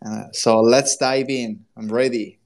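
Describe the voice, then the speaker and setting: A man's voice: medium pitch, steady tone, unhurried, creaky. A man in his thirties with an Italian accent, talking enthusiastically as a guest on a live audio room show and explaining his work step by step. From Italy. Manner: enthusiastic, explains step by step in non-native English. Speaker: guest, crypto consultant.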